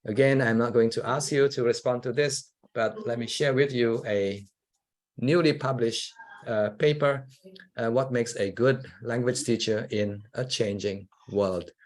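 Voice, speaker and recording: Relaxed Indonesian-accented male lecturer. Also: mid-range voice, measured pace. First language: Javanese. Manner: relaxed, conversational, frequent "yeah" tags, mixes in Indonesian. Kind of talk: public lecture.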